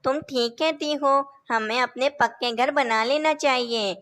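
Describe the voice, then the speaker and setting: High-pitched male cartoon bird voiced by a woman. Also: a little slow, a bit bright. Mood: earnest. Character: male cartoon birds: helpful parrot and lazy scheming crow. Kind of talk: Hindi animated children's story dialogue.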